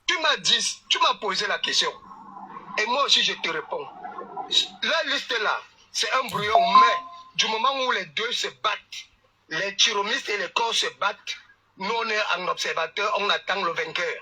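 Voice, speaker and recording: A man debating politics, animated and teasing, often cutting in on the others. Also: high-pitched, moderate pace, a little hiss or hum, rough recording. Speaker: panelist.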